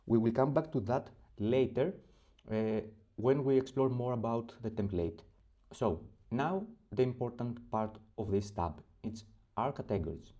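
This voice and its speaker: A man from Greece with a Greek accent, walking through a spreadsheet tutorial step by step, pausing often with fillers. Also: medium pitch, dark tone. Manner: methodical step-by-step explaining with frequent pauses and fillers. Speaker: spreadsheet template creator. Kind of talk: screen-recorded software tutorial.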